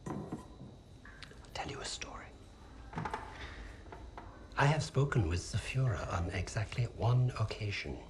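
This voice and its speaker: Low-voiced German officer interrogator. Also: male voice, animated, measured pace, long pauses, noisy background. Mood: quiet menace. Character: Nazi German officer interrogator, bookish, coldly polite. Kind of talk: game cutscene acting. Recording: music under the dialogue.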